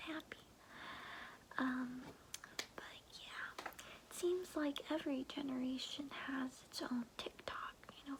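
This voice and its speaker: A woman voicing a chatty, doting grandmother in a soothing, breathy ASMR roleplay whisper, rambling in a folksy way. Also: high-pitched, noisy background.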